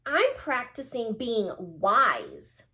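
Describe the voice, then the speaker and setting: Storybook young owl, male played by a woman: high-voiced, lazy schemer, melodramatic and very animated. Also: extremely slow, slight background noise, heavily acted. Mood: reluctant, smug. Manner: dramatic, exaggerated exclamations.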